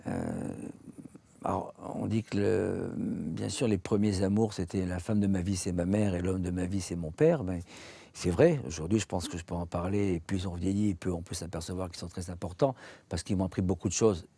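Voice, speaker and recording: A man in his forties with a French accent, a shoe salesman giving an earnest, reflective personal testimony, speaking in measured phrases with pauses. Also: low voice, animated, gravelly, dark tone, breathy edge, no echo. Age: 40s.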